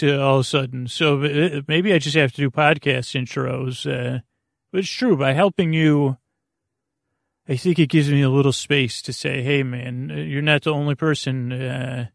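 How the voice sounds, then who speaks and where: A man with a creaky voice hosting a podcast for the sleepless, talking in a casual, meandering, halting monologue with a goofy, whimsical streak. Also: mid-range voice, no echo, clean studio sound. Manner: meandering, halting, stop-and-start. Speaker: podcast host.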